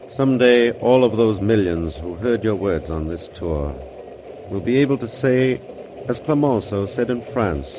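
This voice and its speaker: Earnest presidential aide, noisy recording. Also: male voice, fairly low pitch. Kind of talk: 1940s radio acting. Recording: muffled, noisy 1940s radio recording.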